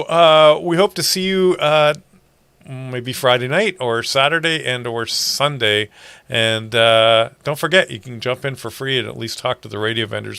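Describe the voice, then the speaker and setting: A bright, clear male voice with a dry, close sound. He talks informally and off the cuff, explaining things step by step in a conversational way, like a host giving a casual promotional update. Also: mid-range voice, no echo. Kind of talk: casual unscripted promotional talk with screen walkthrough.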